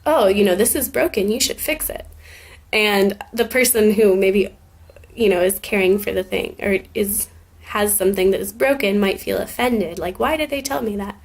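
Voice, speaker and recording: Young American woman with a gravelly edge. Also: medium pitch. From Scotland and Germany. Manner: relaxed, thoughtful, with many ums and likes. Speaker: guest answering student questions. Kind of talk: casual spoken answers for an English class.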